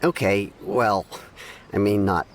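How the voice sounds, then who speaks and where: A man playing a mild-mannered, candid townsman in a video game, hedging in an expressive, conversational way over music. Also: fairly low pitch, takes it slowly. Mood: candid, hesitant. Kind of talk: scripted video game dialogue.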